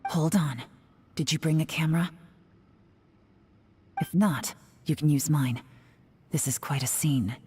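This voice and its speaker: A woman's voice: low-pitched, measured pace, long pauses, darkish tone, long reverb tail, a little hiss or hum. A woman voicing a composed, sly female spy with a dry, wry manner. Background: game music.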